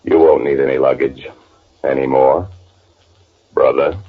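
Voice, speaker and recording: Radio noir: menacing twin brother, deep, sardonic, deadpan, on a narrow-band vintage recording. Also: male voice, unhurried, heavily acted. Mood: coldly satisfied. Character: cold, menacing twin brother, a man narrating his murder. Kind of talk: old-time radio noir acting and narration. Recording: band-limited old radio drama recording.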